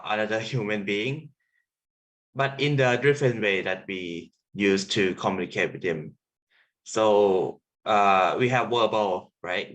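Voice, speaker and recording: A young man from Thailand with a Thai accent, giving an online presentation as a sound artist, halting with many fillers and restarts. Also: fairly low pitch, a bit bright.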